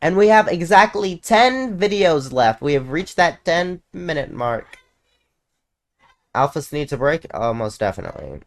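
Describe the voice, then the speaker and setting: A reaction host speaks in a mid-pitched voice at a slow pace. The commentary is casual and rambling, full of small filler words. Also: very animated. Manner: rambling, frequent use of like.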